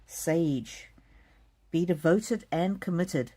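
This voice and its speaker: A middle-aged woman from South Africa giving a gentle live oracle card reading, speaking softly and addressing viewers by name. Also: fairly low pitch, expressive, very slow. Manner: gentle, soothing, talks straight to viewers by name. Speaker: card reader.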